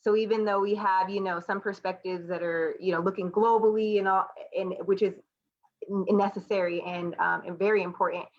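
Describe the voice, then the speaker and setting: A woman's voice: medium pitch, fairly even intonation, brisk, highs cut off. A woman from the United States talking candidly and conversationally as an educator and panelist in a reflective discussion. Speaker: artist, educator and student panelist.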